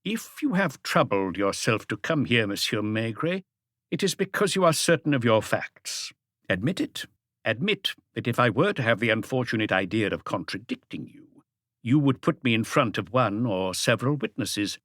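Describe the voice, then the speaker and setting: A man's voice: mid-range voice, big pitch swings, moderate pace, a bit bright, no echo, clean studio sound. A man voicing a wealthy, guarded and haughty Dutch art collector in an audiobook, polite but defensive. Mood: guarded, defiant. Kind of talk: audiobook character dialogue.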